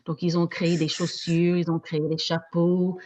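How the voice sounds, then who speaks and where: A woman teaching French as a second language, talking casually with slow, hesitant speech and frequent restarts. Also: on the low side, little pitch movement, a little mellow. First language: not French. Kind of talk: casual discussion in a video meeting.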